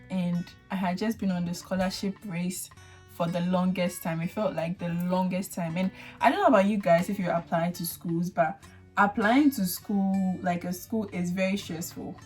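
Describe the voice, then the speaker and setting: A young woman with a Ghanaian accent, not a native English speaker, hosting a casual chat and telling her story with lively acted-out thoughts. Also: on the low side. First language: a Ghanaian language. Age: young adult. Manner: animated storyteller acting out her own thoughts. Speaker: vlogger host.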